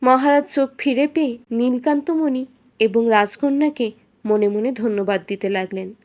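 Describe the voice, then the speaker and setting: High-pitched woman narrating a fairy tale. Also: moderate pace, a little mellow. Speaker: storyteller.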